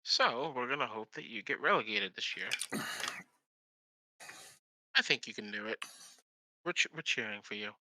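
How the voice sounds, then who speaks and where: A man's voice: mid-range voice, lively intonation, normal speed, pauses often, sharp tone, no echo, rough recording. A man co-hosting a live game stream, making short offhand remarks with dry humor. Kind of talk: casual live-stream chat.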